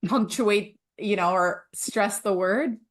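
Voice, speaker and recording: A woman's voice: medium pitch, takes it slowly. A woman from Quebec, hosting a casual, conversational interview with a fellow speech-language pathologist. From Quebec, Canada.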